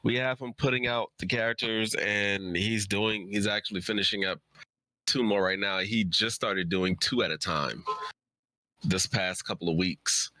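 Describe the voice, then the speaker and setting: A man's voice: fairly low pitch, steady tone, moderate pace, bright, forceful tone, no room sound, low-quality recording. A man talking casually on a livestream as a co-presenter, informal and easygoing in how he speaks. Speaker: writer and streamer, co-presenter.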